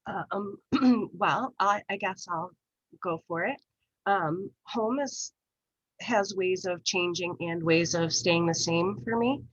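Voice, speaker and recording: Soft, hesitant low-voiced woman. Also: takes it slowly, husky, dry recording, highs cut off. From Rochester area, USA. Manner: hesitant, many fillers, soft and earnest. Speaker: panelist. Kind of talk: personal storytelling in a panel conversation.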